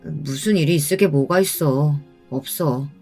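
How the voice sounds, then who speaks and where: A woman's voice: low-pitched, measured pace, gravelly, a little mellow, breathy edge, faint music behind, voice pushed down, heavily acted. Woman voicing a curt, weary young husband. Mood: curt, irritated. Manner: curt, dismissive.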